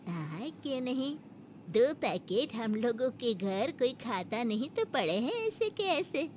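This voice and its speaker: A woman's voice: fairly high pitch, sing-song, unhurried, a little mellow, slight background noise. A woman voicing a caring, blunt old village grandmother who fusses and scolds affectionately in a Hindi cartoon story. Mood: fussing, caring. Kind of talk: Hindi animated moral story acting.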